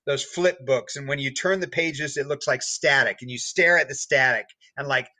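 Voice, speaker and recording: A man's voice: medium pitch, normal speed, bright, forceful tone, dry recording. A man speaking as a podcast guest, explaining step by step in casual conversation with everyday comparisons.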